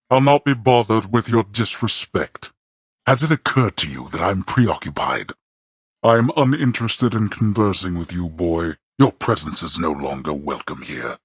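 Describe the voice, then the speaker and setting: An actor playing a haughty male god of the underworld who scolds his son in a deep, clipped, contemptuous voice. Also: lively intonation, moderate pace, breathy edge, no room sound, studio quality. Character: imperious male god of the underworld, cold and disdainful. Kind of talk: game character voice lines.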